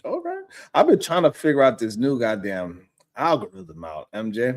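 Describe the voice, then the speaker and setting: A man's voice: mid-range voice, wildly swooping pitch, moderate pace, no echo. A man hosting a live stream in casual chat, telling loose slangy stories and laughing often.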